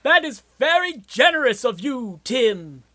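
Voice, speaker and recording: A performer playing an earnest, formal angel conscience in a robot's head in a comedy radio play. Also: female voice, sits high, very animated, unhurried, sharp tone, no echo, clean studio sound, exaggerated voice.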